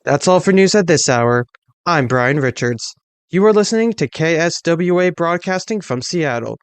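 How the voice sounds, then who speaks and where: An actor playing a male American radio newscaster, reading bulletins in a formal, measured announcer delivery. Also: on the high side, expressive, clean tone, no echo. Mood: formal, serious. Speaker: news anchor. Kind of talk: scripted radio news bulletin.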